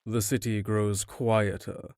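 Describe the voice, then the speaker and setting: A man's voice: on the low side, flat delivery, slow pace, a little mellow, dry recording. A man reading scripted narration for an audio drama.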